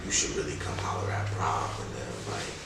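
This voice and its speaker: A young man with a very low voice tells stories casually, full of slang and replayed bits of conversation. He sounds like a rapper in an interview, picked up from a distance in a very echoey room. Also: a little fast, whispered. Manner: slangy, acts out remembered dialogue. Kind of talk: casual anecdotal interview talk. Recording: echoey room, distant mic, faint noise.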